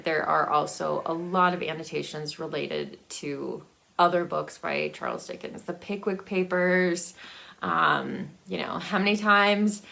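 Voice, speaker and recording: A woman chatting casually about books as a vlogger, in a hesitant, rambling, halting way. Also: on the low side, creaky, a bit bright. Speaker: book vlogger. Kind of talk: casual book review chat to camera.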